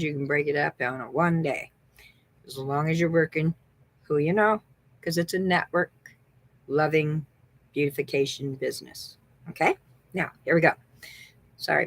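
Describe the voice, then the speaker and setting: A middle-aged woman from the American Southwest with a Texan accent, chatting upbeat as a beauty consultant and thinking aloud while she looks things up. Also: sits low. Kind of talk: casual sales vlog.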